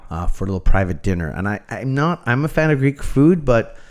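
A man's voice: medium pitch, big pitch swings, a little fast. A middle-aged man, a chef talking casually and candidly as an interview guest, musing and opinionated. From Canada. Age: middle-aged or older. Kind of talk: casual interview chat, anecdotal.

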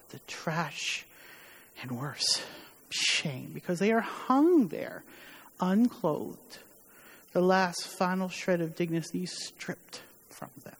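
Woman performing a bereft mother of a condemned son, slightly husky, solemn stage monologue, halting delivery, long church reverb. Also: fairly low pitch. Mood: ashamed, self-blaming. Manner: solemn, halting, emphatic with dramatic pauses. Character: grieving, ashamed widowed mother of a condemned criminal. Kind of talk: dramatic monologue in a church service. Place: large reverberant church during a worship service. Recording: echoey hall with long reverb, muddied.

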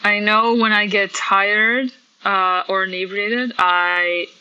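Bright-voiced woman musician chatting. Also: mid-range voice, steady tone, takes it slowly, clear, resonant tone, dull audio. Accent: Polish. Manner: chatty, many fillers and laughs. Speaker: percussionist guest. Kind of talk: casual interview answers.

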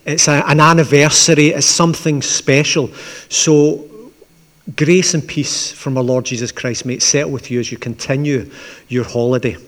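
A man with a Glaswegian accent preaches in a relaxed, conversational way, slipping in dry asides. His voice sits a little higher than most men's. The sound carries a long church reverb. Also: normal speed. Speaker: pastor. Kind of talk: sermon with opening prayer.

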